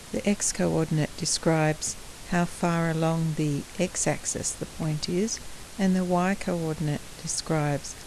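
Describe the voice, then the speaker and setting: A woman teacher with a croaky voice gives a patient maths lesson recorded at home on a laptop, clearing her throat now and then. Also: low voice, a little mellow, slight background noise, low-quality recording. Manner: slow, patient explaining, with frequent clearing of the throat.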